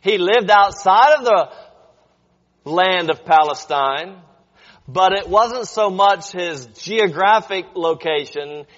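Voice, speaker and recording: A man preaching a Bible lesson, explaining patiently and checking in with his listeners through rhetorical questions. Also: high voice. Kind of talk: sermon. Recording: dull audio with long hall reverb.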